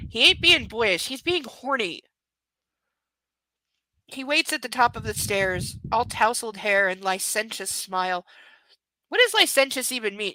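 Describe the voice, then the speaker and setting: A woman reads a novel aloud on a live stream from home, her bright, fairly high voice close and dry on a camera mic. She keeps stopping to poke fun at the story in chatty, sarcastic asides. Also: no echo, rough recording. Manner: sarcastic, chatty asides between read passages. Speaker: book reader and streamer. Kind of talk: live book reading with casual commentary.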